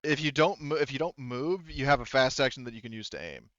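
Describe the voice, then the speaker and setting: A man's voice: mid-range voice, a little fast, a bit bright, no room sound, highs cut off. A man acting as game master in an online tabletop roleplaying session, talking casually with some salty language.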